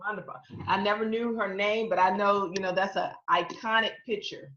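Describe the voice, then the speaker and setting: A woman host with a mid-range voice asking questions over a video call. Her audio sounds dull and roomy, as if picked up in an echoey room. She keeps filling gaps with quick affirmations. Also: mid-range voice, steady tone, slight background noise. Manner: warm-up chatter, affirming with short interjections. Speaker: podcast host, author.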